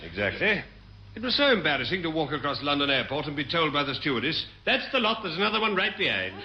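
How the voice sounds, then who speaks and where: An actor playing a dry, urbane government official in a radio sitcom, on a muffled, noisy old recording. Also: male voice, on the high side, sing-song, quick pace. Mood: dryly amused.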